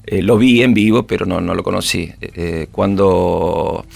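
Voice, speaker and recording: A man's voice: sits low, a little slow, creaky, a little mellow. A middle-aged man, chatting as a guest in an interview, hesitant with many restarts and fillers. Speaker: guest musician. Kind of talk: casual interview chat.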